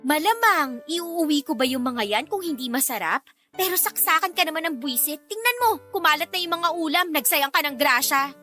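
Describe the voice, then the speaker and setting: A woman's voice: sits high, expressive, fairly quick, sharp tone, faint music behind. A woman playing a proud, easily irritated young woman in a Filipino radio romance drama, sassy and argumentative. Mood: irritated, defiant.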